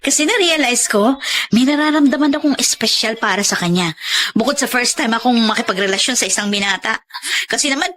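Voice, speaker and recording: An actress playing a lovelorn young Filipina bar hostess, wistful and defensive, in a radio drama. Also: female voice, on the high side, fairly quick, a bit bright, lightly acted. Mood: earnest.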